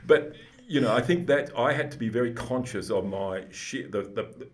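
A man with a booming voice, speaking as a psychologist guest, explaining things slowly and deliberately. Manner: slow, deliberate, explanatory, with restarts. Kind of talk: calm, measured podcast conversation.

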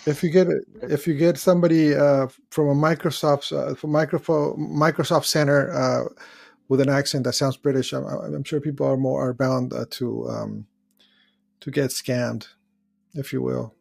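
A man's voice: medium pitch, steady tone, normal speed, vocal fry, darkish tone, faint music behind. A man hosting a live call-in show, chatting casually, rambling as he searches for words and reads out viewer comments. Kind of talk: casual live-stream chat.

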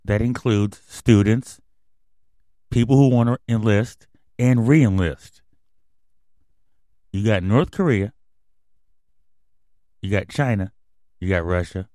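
Low-voiced man hosting a podcast, dry sound. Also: takes it slowly, long pauses, warm tone, dry recording. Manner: loose, blunt and conversational, with a grand on-air persona. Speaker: podcast host. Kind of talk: casual podcast news talk.

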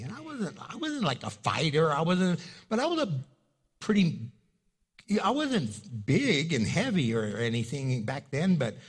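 Man, pastor, sermon, first language Spanish, husky, storytelling, loud high bursts.

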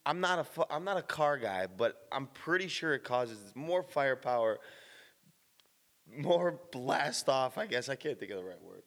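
A man talks in a loose, blunt explainer style, swearing casually and restarting his sentences. His voice is slightly raspy from the early morning and sits at a middle pitch. A long reverb trails after his words. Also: big pitch swings, normal speed, fairly bright tone. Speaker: fitness vlogger.

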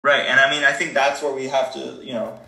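Teen boy, discussion panelist, bright, earnest, stumbles and restarts, echoey room. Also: mid-range voice, little pitch movement, brisk, gravelly. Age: teens. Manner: earnest, repeats words while thinking aloud. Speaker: discussion participant. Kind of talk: casual group discussion.

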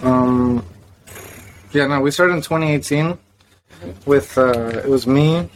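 A man's voice: mid-range voice, slow, faint music behind, rough recording. A young man from South Florida, a guitarist and singer, talking in a laid-back, hesitant way as a podcast guest. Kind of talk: casual interview chat.

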